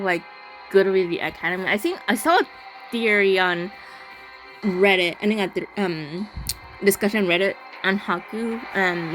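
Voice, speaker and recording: A woman's voice: mid-range voice, poor audio. A woman hosting a game playthrough, reading narration aloud and adding casual commentary. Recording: voice over loud music. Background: game music.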